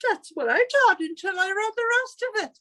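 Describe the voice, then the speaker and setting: A woman's voice: extremely high pitch, lively intonation, normal speed, a bit bright. A woman playing a chatty, put-upon landlady who tells her grievances theatrically in 1940s radio comedy style. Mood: aggrieved. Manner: theatrical, aggrieved storytelling.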